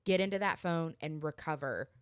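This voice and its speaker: Smooth, lower-pitched woman chatting as co-host. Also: moderate pace, no echo, studio quality. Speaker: podcast co-host. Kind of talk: casual chat reviewing films and books.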